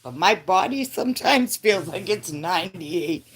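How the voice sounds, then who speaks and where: A woman's voice: on the low side, lively intonation, measured pace, husky. A woman in her fifties chatting casually with live viewers, laughing often and answering comments. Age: 50s. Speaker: livestream host.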